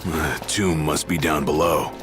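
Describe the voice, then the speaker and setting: An actor playing a gruff, hot-tempered bodyguard in a video game, blunt and confrontational. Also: male voice, extremely low pitch, fairly even intonation, a little slow, over music. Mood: terse, watchful. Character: gruff, hot-tempered big male bodyguard scolding his friend. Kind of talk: dramatic video game acting.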